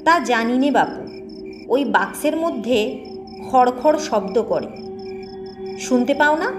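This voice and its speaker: A woman doing a high, querulous old woman. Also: lively intonation, slow, over music. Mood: fearful, complaining. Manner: querulous, huffy. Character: fearful, grumbling old village maidservant woman.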